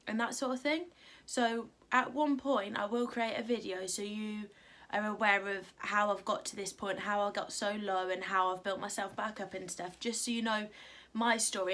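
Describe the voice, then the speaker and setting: A young woman vlogging at home with a croaky morning voice, chatty and rambling with lots of fillers, giving encouraging advice. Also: mid-range voice, a bit bright. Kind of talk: casual talk to camera.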